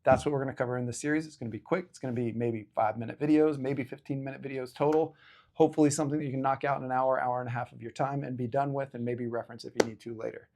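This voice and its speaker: A man's voice: medium pitch, steady tone, very fast, a little mellow. Casual, self-deprecating man hosting an explainer. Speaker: host of an educational video series. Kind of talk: casual explainer talk to camera.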